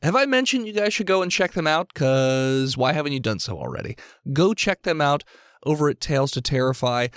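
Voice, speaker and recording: A male podcast host talking casually to his listeners. His voice is bright and forward, in an informal announcement style. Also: a little high, big pitch swings, a little fast, clear, resonant tone, dry recording, clean studio sound.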